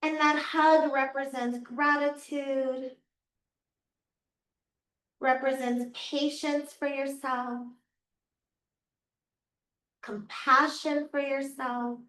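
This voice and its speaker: A woman yoga teacher guiding a class through slow, soothing cues, her voice a little raspy from losing it. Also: high voice, flat delivery, a bit bright. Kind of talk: guided yoga class instruction. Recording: echoey room, voice from far off.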